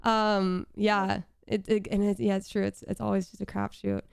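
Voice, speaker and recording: Young woman host, raspy fry voice, crisp dry close-mic sound, excitable chat. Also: medium pitch, moderate pace, no room sound. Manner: bubbly, excitable. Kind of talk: casual interview chat. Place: home streaming room. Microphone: studio mic with headphones.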